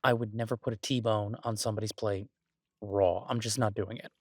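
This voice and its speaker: A man playing an exasperated but affectionate restaurant chef in an intimate boyfriend audio roleplay, talking conversationally with halting, stammered restarts. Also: on the low side, fairly even intonation, slightly rough, dry recording, clean studio sound. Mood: exasperated, musing. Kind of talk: intimate boyfriend roleplay, one-sided casual dialogue.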